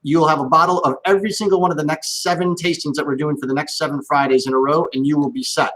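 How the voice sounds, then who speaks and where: A man's voice: fairly high pitch, fairly even intonation, quick pace, fairly bright tone, poor audio. Upbeat, chatty male stream host. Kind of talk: live-streamed interview. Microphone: video call.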